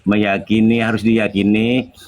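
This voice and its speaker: A man with a Madurese accent giving an informal religious talk in a group discussion, in a halting, code-switching delivery. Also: fairly low pitch, level tone, darkish tone. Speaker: guest speaker in a group discussion.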